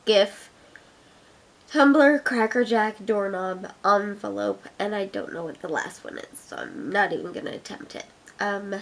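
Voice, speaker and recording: A woman's voice: medium pitch, gravelly, fairly bright tone. Young Canadian woman, Ottawa accent, mid-range voice, chatty and giggly vlogger reading aloud. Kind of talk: casual accent challenge, reading her own fiction aloud.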